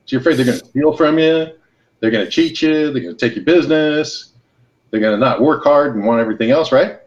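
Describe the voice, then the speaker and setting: Relaxed man giving business advice. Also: on the high side, fast. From Los Angeles and Hawaii. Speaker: guest entrepreneur. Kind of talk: casual podcast conversation. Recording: slightly dull lo-fi sound.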